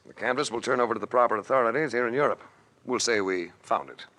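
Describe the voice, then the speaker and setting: A man's voice: mid-range voice, fast, pushed and bright, natural voice. Man playing a cool, wry radio adventurer. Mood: easygoing. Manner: dry, unflappable, clipped. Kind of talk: old-time radio drama acting with narration.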